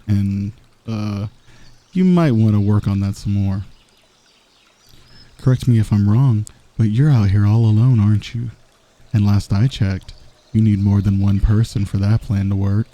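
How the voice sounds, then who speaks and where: A man's voice: lowish voice, warm tone, no room sound, close to an everyday voice. A man playing a smug, confident villain who teases and flirts with a rival in an intimate ASMR roleplay. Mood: mocking, amused. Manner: teasing, smug, drawling taunts. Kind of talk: romantic ASMR roleplay, intimate scripted monologue.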